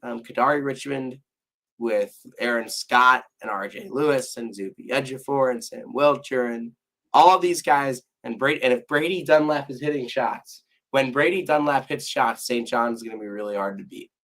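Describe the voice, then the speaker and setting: A hoarse young man co-hosting a casual sports podcast, losing his voice and restarting his sentences as he rambles on. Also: mid-range voice, normal speed, fairly bright tone, low-quality recording. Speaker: podcast co-host.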